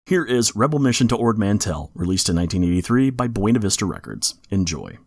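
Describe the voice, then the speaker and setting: A man's voice: fairly low pitch, very animated, fairly quick, creaky, darkish tone, no echo. A man hosting a podcast, reading informative scripted background in a geeky manner. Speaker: podcast host.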